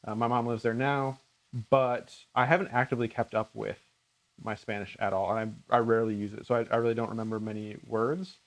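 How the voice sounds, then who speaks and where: A man's voice: on the low side, little pitch movement, brisk. Earnest American man chatting casually. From rural Minnesota, United States. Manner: earnest, self-deprecating. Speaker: cooking channel host. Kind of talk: casual chat answering viewer questions.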